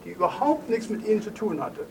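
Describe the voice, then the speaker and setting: A high-voiced man confronts someone bluntly and judgmentally in an audio drama. He is insistent and accusing, heard away from the microphone amid clear background noise. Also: sing-song, fast talker, dark tone, exaggerated voice. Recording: noisy, distant microphone with background ambience.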